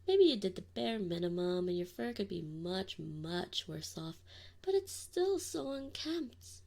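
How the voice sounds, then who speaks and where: Woman playing a husky, doting wolf mother. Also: medium pitch, full character voice. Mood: fussing, fond. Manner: soft, slow, often whispered. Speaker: ASMR roleplay performer. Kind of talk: ASMR roleplay. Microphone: binaural mic.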